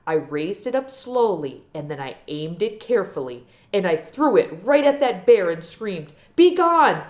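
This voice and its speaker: A woman's voice: fairly low pitch, sing-song, unhurried, darkish tone. A woman voicing a genteel, wistful old small-town librarian lady from a storybook, telling tales in a folksy, emphatic way. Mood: dramatic, proud.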